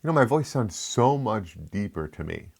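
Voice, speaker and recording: A man's voice: on the low side, very animated, moderate pace, gravelly, a little mellow. A man with a lisp hosting a casual product review, chatty and rambling with frequent asides. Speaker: tech reviewer, channel host.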